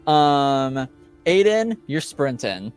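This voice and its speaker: A man improvising narration as game master of a tabletop game, in halting, hesitant phrases. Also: mid-range voice, big pitch swings, clear, resonant tone, soft background music.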